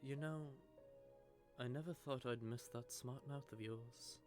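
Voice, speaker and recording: A man playing a smug, sardonic vampire who taunts a captive in a teasing, drawling, conversational monologue, with a gravelly voice. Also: medium pitch, measured pace, a little mellow, lightly acted. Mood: musing, possessive. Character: smug, sardonic male vampire gloating over a captive hunter. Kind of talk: scripted audio roleplay monologue addressed to the listener. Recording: background music under the voice.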